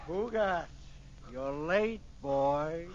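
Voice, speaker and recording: An actor playing a blustering, bossy older boss in a 1940s radio comedy, hearty and overbearing. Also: male voice, a little high, animated, extremely slow. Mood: blustery. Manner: blustery, tags sentences with a hearty "boy". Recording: muffled, hissy old radio recording.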